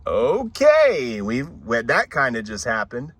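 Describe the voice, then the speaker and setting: A man's voice: mid-range voice, huge pitch swings, slow pace, a bit bright, husky. A man hosting a casual product review vlog in an enthusiastic way. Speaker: video host reviewing gear.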